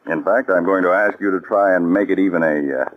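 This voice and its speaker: A man's voice: deep voice, fairly quick, close to an everyday voice. An actor playing a firm, authoritative male spy chief in a 1940s radio drama. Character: commanding male chief of counterspies. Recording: dull old radio broadcast.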